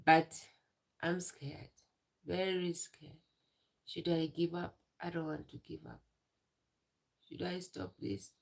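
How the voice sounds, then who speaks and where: A young woman on a live stream, tearful and emotional, talking in halting phrases and repeating herself. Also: fairly low pitch, fairly even intonation, vocal fry. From Uganda. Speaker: livestreamer.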